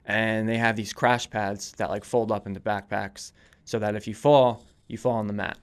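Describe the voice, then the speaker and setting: Smooth, low-voiced young man chatting. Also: flat delivery, normal speed. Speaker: podcast guest, volunteer firefighter and police dispatcher. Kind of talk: casual conversational interview answers.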